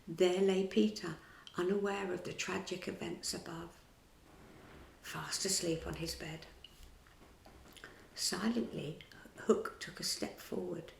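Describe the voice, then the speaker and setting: A woman storyteller reading a children's classic aloud, dramatic and dropping to whispers in the tense parts. Her voice has a creaky, gravelly texture. The room is echoey. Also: mid-range voice.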